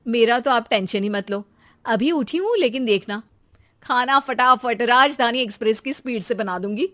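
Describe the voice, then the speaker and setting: Lady doing a bragging impatient young bahu for a cartoon, high sharp teasing voice. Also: normal speed, natural voice. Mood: boastful. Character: brisk boastful young daughter-in-law, teasing and impatient. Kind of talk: cartoon moral story character voice.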